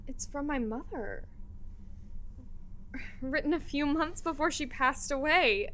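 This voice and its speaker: A woman's voice: sits high, slow pace, a bit bright, a little hiss or hum. A young woman playing a sweet, earnest, affectionate graduate in an audio drama. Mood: moved, subdued. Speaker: actor in an audio play.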